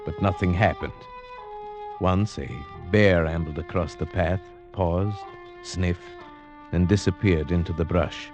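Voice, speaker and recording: An actor playing an uneasy hunter who tells his tale in a measured, suspenseful, formal way, in old-time radio horror style. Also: deep voice, steady tone, lo-fi sound. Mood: brooding suspense. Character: uneasy male hunter recounting a tale of dread. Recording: vintage radio recording with dramatic music.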